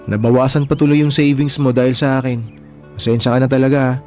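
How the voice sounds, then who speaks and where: An actor playing a wounded, bitter younger brother with a Filipino accent in a radio drama, emotional and plaintive. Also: male voice, medium pitch, steady tone, fast, a little mellow, lightly acted. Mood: grateful, apologetic. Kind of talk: Tagalog radio drama acting. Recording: background music throughout.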